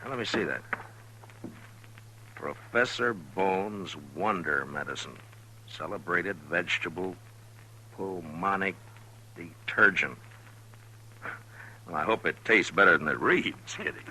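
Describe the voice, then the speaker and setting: An actor playing a gruff, wry, unhurried frontier marshal with a dry, sardonic delivery in an old radio western. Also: male voice, low-pitched, sharp tone, exaggerated voice, poor audio. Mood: wry, skeptical. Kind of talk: 1950s radio western acting. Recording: old radio broadcast, hum and hiss.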